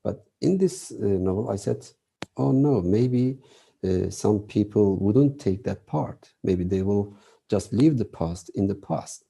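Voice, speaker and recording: Low gravelly guy, author talking slow and halting, lots of uh's, dark husky tone. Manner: thoughtful, many pauses and "uh" fillers. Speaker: novelist guest. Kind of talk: reflective interview answers.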